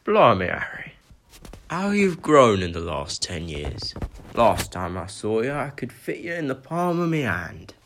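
Giant groundskeeper played by a male reader: loud, rustic, gruff-hearted, deep rumble. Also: very animated, a little slow, heavily acted. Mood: hearty. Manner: loud, rustic dialect, rumbling growls when angry. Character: huge gruff friendly giant man, groundskeeper.

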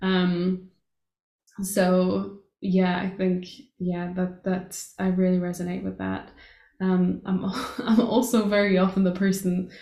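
A woman from Portugal hosting a casual podcast interview, affirming her guest and often pausing with "um". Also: fairly low pitch, flat delivery, mellow. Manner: affirming, lots of "um" fillers. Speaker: podcast host. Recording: echoey room.

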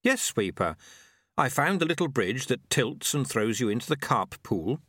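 A man's voice: medium pitch, sing-song, moderate pace, a bit bright, no room sound, studio quality. A man voicing an earnest, nervous young novice monk in an audiobook, hesitant, deferential and easily awed. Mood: earnest, respectful.

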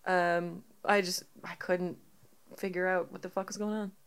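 A woman's voice: lowish voice, little pitch movement, normal speed, clear, resonant tone. A woman guesting on a podcast, chatting easily and laughing often. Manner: giggly, laughs often, easygoing. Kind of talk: casual chat.

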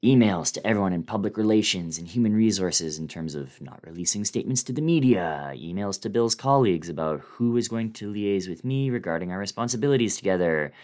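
A man narrating a first-person horror story as a dramatic reading, with theatrical, expressive delivery. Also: on the low side, brisk, hardly any pauses.

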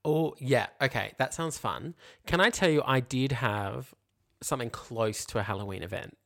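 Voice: mid-range voice, moderate pace, gravelly, a bit bright. A podcast co-host telling casual, comic anecdotes and acting out the quoted dialogue as they go. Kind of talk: casual comic storytelling chat.

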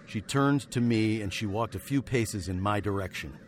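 A man's voice: lowish voice, moderate pace, noisy background, lightly acted. An actor playing a wry fugitive western hero, narrating his tale in a literary, sardonic way for a radio drama. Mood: grave, wry.

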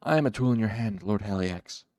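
A man voicing an arrogant, cruel henchman cowed by his master in a fantasy story, his soft voice strained and clipped. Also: lowish voice, animated, measured pace, gravelly, no room sound, clean studio sound, exaggerated voice. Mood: pained submission. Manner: snappish when proud, gasping and choked when submissive.

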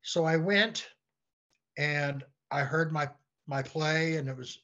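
An American man chatting casually as an interview guest, telling anecdotes in a halting way and often repeating words. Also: fairly high pitch, steady tone, bright, forceful tone. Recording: dull, lo-fi call-like audio.

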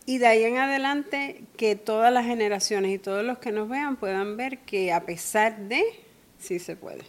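A woman's voice: on the high side, normal speed. A middle-aged woman with a Cuban accent tinged by Colombian speech, co-hosting a casual chat with her husband and laughing easily. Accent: Cuban, blended with Colombian. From Cuba. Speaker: co-host.

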